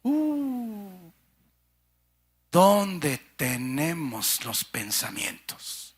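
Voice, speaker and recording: A man preaching a sermon with passion, calling his listeners brother and sister and swinging between fervent cries and hushed low phrases. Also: lively intonation, drawn-out words, breathy edge.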